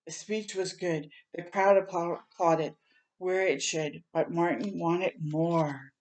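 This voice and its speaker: A woman's voice: lowish voice, steady tone, slow pace, a little mellow, poor audio. A woman reading a picture book aloud for children as a storytime host, in a dramatic, heartfelt and emphatic manner.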